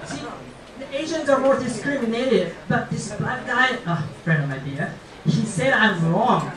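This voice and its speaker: A young man from Japan with a Japanese accent performs a stand-up comedy routine in halting, simple phrases. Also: very high voice, animated, a crowd in the background. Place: small comedy club stage, indoors. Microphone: handheld mic, picked up by far camera. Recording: echoey club, distant, audience noise. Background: audience laughter.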